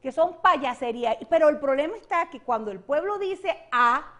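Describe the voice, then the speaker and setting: A woman's voice: sits high, expressive, normal speed, fairly bright tone. A Venezuelan woman, a political analyst and guest, speaking with passion and emphatic repetition in a studio interview. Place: television studio set.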